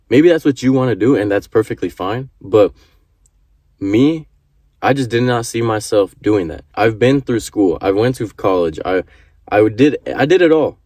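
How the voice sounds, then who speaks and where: A man's voice: mid-range voice, a little mellow, dry recording, clean studio sound. A man in his early twenties giving an earnest motivational talk to camera, repeating his points and swearing casually. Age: 20s. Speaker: vlogger.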